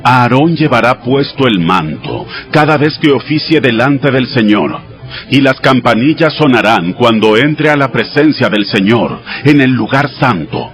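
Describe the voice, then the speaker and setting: A man playing a solemn God giving measured, exacting instructions in a dramatized scripture reading. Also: low voice. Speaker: Bible audio drama voice actor. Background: music behind the voice.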